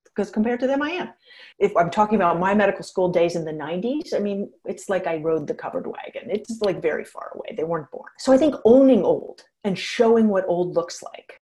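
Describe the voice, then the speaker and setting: A woman's voice: medium pitch, brisk. A woman in her fifties, a geriatrician and author, answering interview questions frankly and with energy, laughing now and then as she talks. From United States. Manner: frank, animated, laughs while talking.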